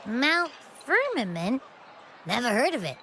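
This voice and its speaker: A bright, bubbly young game heroine who is always hungry. Her voice is high and sparkling, swooping up and down. She talks slowly and playfully, with a boastful streak. Soft game music plays behind. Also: sing-song, a little hiss or hum. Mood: curious. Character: cheerful food-loving young woman companion. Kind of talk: video game cutscene voice acting. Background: faint game music.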